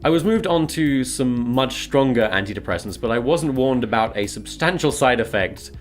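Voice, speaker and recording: A man's voice: medium pitch, expressive, moderate pace, soft background music. A man in his twenties with a British accent, telling a personal story in a semi-scripted, confessional way as a video creator.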